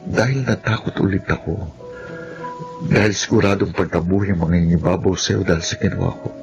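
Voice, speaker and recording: A man's voice: low voice, steady tone, a little fast. An actor playing a remorseful, pleading absent father in an earnest Filipino radio drama. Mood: confessing, earnest. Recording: dull lo-fi sound, music behind.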